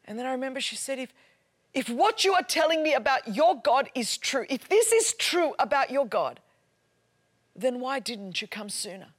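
A woman's voice: sits high, big pitch swings, normal speed. A woman, a native Greek speaker, preaching and telling a story with mounting passion and emphatic repetition. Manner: impassioned storytelling, builds to loud emphatic repetition. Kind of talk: sermon.

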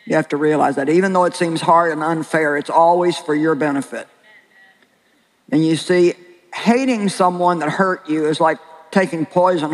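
An older woman with a very deep voice preaching a sermon and sharing her own story in an earnest, plain-spoken way with slow, deliberate pauses. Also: in a large hall, poor audio. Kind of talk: sermon with personal testimony. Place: large hall with an audience.

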